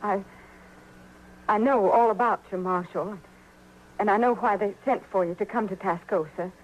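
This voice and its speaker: A slightly noisy old radio western recording of a woman acting as an American saloon girl on the run. Her voice is mid-pitched and dramatic, halting and pleading, desperate yet full of pluck. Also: dull audio, close to an everyday voice. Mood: pleading, bitter.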